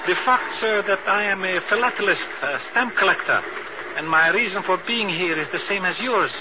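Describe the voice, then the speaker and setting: An actor playing a courteous, sly stamp collector in a 1950s radio drama, ornately polite and self-assured. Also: male voice, high voice, lively intonation, normal speed. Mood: unctuous. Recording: noisy, muffled old radio recording.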